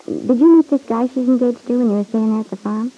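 A woman's voice: fairly high pitch, fast talker, dark tone, lightly acted, rough recording. A woman playing a chatty, plain-spoken young roommate in an old-time radio serial, with breezy, slangy, folksy talk. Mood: casual, chatty.

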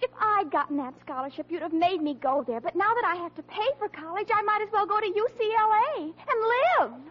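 An actress playing a gushing, dramatic American teenage daughter in a 1940s radio comedy. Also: female voice, very high voice, lively intonation, a little fast, slight background noise. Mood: gushing relief. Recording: muffled old radio broadcast recording.

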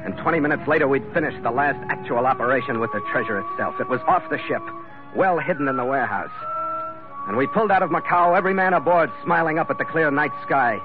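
A man's voice: on the high side, lively intonation, quick pace, close to an everyday voice. An actor playing a confident ship's captain who narrates his seafaring adventures in 1940s radio style. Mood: satisfied, relieved. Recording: dull old radio recording, loud music behind.

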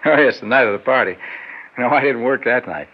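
A man's voice: mid-range voice, a little fast, close to an everyday voice. An actor playing an ambitious, earnest businessman in an old-time radio drama, sometimes hesitant in his delivery. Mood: flustered. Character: ambitious, earnest businessman recalling an awkward youthful romance. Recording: muffled, noisy vintage radio recording.

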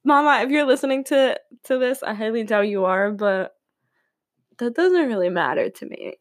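A woman's voice: a little high, lively intonation, moderate pace, dry recording. A young woman from California hosting her own podcast, chatting casually and rambling with many fillers and frequent laughs, recorded at home. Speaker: podcast host. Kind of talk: casual solo podcast chat. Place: room at home with windows open.

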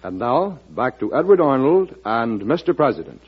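A man's voice: medium pitch, big pitch swings, moderate pace, loud music behind the voice. An American man reading a scripted public service announcement as a 1940s radio announcer. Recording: muffled vintage radio recording.

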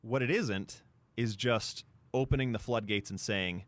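A man's voice: on the low side, unhurried, dry recording. A man co-hosting a sports talk show, explaining things point by point in a discussion. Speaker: sports radio show co-host.

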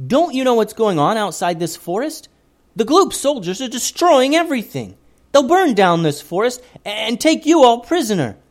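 A man's voice: on the high side, sing-song, unhurried, a little mellow, pitched above the performer's own voice. A man reading a storybook aloud and voicing an eager young boy who blurts and stammers. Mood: angry. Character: brave excitable young boy.

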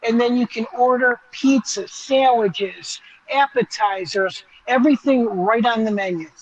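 A man's voice: very high voice, muffled recording. A man giving an enthusiastic, conversational live walk-through as a restaurant reporter, explaining as he goes.

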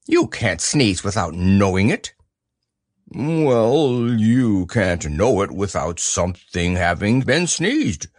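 A humble toy bear of little brain, played by a man in a storybook reading. He talks very slowly and earnestly, plodding from word to word, with a lot of rise and fall. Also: fairly low pitch, sing-song, dry recording, studio quality, voice pushed down, exaggerated voice. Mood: mildly insistent. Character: humble, slow-witted, gentle male toy bear. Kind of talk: storybook character voice.

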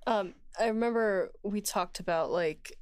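A woman co-hosting a casual podcast discussion, speaking informally in halting phrases. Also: medium pitch, darkish tone, smooth, dry recording, clean studio sound. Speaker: co-host.

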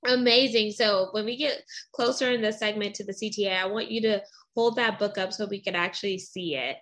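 A woman's voice: medium pitch, fairly even intonation, a little fast, a bit bright. A woman hosting a casual interview segment, upbeat and encouraging with her guest. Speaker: interview host.

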